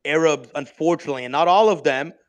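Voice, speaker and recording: A man's voice: fairly high pitch, fast talker, highs cut off. A man arguing defensively in a heated live online debate, pressing his points by repeating himself. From Israel. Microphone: online voice chat mic.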